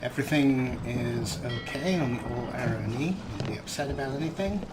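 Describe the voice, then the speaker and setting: A man's voice: mid-range voice, moderate pace, very noisy. A man giving casual, playful, improvised commentary as he plays a video game, often talking to the characters. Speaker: let's play host. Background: video game ambience.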